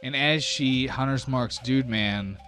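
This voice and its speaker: A man's voice: mid-range voice, slow pace, bright, forceful tone, faint music behind. A man narrating as game master of a tabletop game, explaining rules plainly and easygoingly with some fillers.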